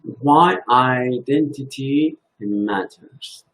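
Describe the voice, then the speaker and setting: Slow, halting man. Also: mid-range voice, fairly bright tone, rough recording. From Iran. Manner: slow, halting, thinking aloud. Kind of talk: reflective religious talk.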